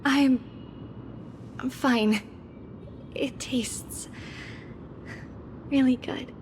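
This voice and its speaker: A woman playing a gentle, kindly, reserved young maiden in a video game. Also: high voice, steady tone, drawn-out words, slight background noise. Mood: tearful. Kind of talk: game voice acting. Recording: music behind the voice.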